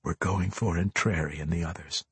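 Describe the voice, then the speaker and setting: Man as a stern, husky elf warrior. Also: low voice, fairly even intonation, a bit bright, no echo, exaggerated voice. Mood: questioning. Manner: formal, challenging, measured. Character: stern, noble male drow elf warrior, earnest.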